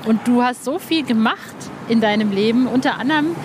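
Casting: female podcast interviewer, fairly high voice, informal and searching, noticeable background noise. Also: a little fast. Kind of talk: casual interview chat. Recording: clear urban background noise.

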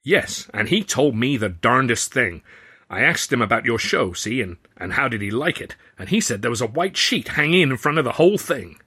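A man voicing a brash, cigar-chomping American theatre boss who booms bluffly and growls when crossed. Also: very animated, moderate pace, pushed and bright, husky, exaggerated voice. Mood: hearty.

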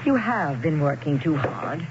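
An actress playing a tender, curious wife in a 1970s radio drama, expressive, fussing and affectionate. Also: female voice, low voice, a little slow. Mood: tender concern. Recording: dull, noisy vintage radio broadcast.

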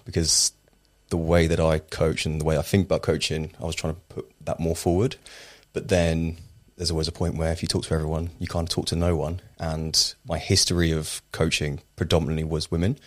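Very deep-voiced man, relaxed and rambling. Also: fairly quick. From Tenerife, Spain. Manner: relaxed, rambling, with fillers and restarts. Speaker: personal trainer, guest. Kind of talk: casual interview answers.

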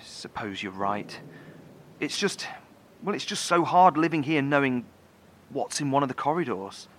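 Over faint rumbling noise comes a mid-pitched male voice, restless and questioning. An actor plays a troubled, inquisitive survivor brooding in a bunker in a horror audio drama. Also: animated, a little slow, lightly acted. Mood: resigned, troubled.

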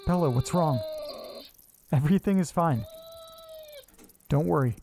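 An actor playing an anxious, lonely, self-doubting man who talks haltingly to himself in a horror audio drama. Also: on the high side, gravelly, dark tone, slight background noise. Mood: puzzled, uneasy. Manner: halting, muttering, talking to himself. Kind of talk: audio drama monologue, naturalistic acting.

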